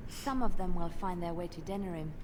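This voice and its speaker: A woman playing a pragmatic, hard-headed adventurer in a fantasy video game, measured and unsentimental. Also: fairly low pitch, lightly acted. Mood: firm, resolute. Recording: game audio with noisy ambience.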